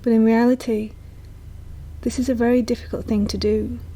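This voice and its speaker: Soft, breathy woman guiding a meditation. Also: on the high side, flat delivery. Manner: soft, slow, soothing delivery. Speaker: meditation guide. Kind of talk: guided meditation narration.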